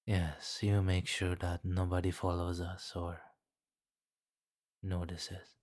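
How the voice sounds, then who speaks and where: A man playing a suave, commanding, centuries-old vampire king in an ASMR fantasy roleplay, speaking formally and deliberately with quiet menace. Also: sits low, flat delivery, no echo, studio quality. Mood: quietly scheming. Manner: formal, deliberate, softly imperious.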